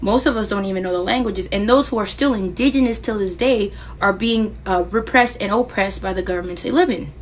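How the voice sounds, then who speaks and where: A woman's voice: medium pitch, brisk, few pauses, a little hiss or hum. A woman explaining a history point in a teaching manner and giving examples. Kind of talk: educational commentary.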